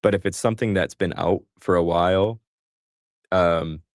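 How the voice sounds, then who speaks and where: A man's voice: deep voice, moderate pace, dry recording, clean studio sound. A man hosting a live call-in stream, chatting casually and joking about himself. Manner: chatty, self-deprecating, joking. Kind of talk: casual chat.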